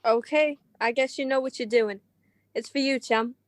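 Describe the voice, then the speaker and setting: A woman's voice: sits high, steady tone, moderate pace, no echo, close to an everyday voice. A woman playing a guarded gambling-joint henchman in a radio crime drama, terse, slangy and dismissive.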